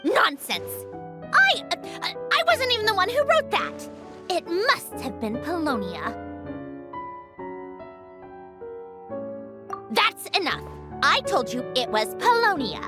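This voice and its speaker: A woman playing a proud, prickly, easily flustered young female genius in a video game dub, brash and expressive. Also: very high voice, a little slow, music in the background, heavily acted.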